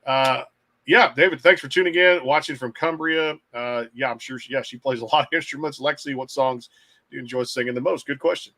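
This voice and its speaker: A man's voice: medium pitch, brisk, sharp tone. A middle-aged man hosting an interview show, talking in a rambling way and repeating phrases. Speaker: podcast host.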